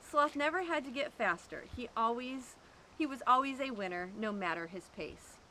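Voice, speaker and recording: A woman reading a children's picture book aloud, with expressive, drawn-out emphasis. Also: fairly high pitch, a little hiss or hum, low-quality recording. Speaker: storyteller at an animal sanctuary.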